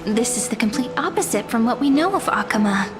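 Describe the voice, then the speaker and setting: A thoughtful young game heroine acted by a woman speaks politely and inquisitively. Her voice is high, and music plays clearly behind it. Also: lively intonation, fairly quick, natural voice. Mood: pondering. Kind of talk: scripted video game character acting.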